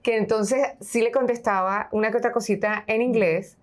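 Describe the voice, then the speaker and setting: A woman's voice: a little high, steady tone, normal speed. A woman with a Venezuelan accent, a show host telling a personal story in a chatty, anecdotal way. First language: Spanish. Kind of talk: conversational interview.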